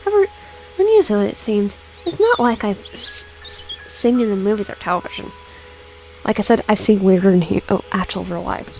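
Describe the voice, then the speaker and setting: A woman's voice: medium pitch, expressive, a little fast, darkish tone, a little hiss or hum, rough recording. A woman casually reading a visual novel game aloud with personal asides, often stumbling over words and repeating phrases. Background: background music.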